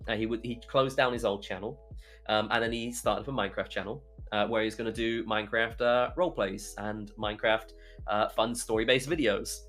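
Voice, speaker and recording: A man's voice: lowish voice, fairly even intonation, a little fast, fairly bright tone, faint music behind. A young British man telling stories casually as a podcast guest, chatty and rambling as he goes. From United Kingdom. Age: young adult. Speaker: podcast guest, YouTuber and streamer.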